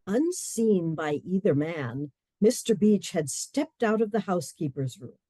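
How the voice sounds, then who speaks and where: A woman giving scripted narration for a radio play, measured and storytelling. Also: on the low side, very animated, warm tone, no room sound.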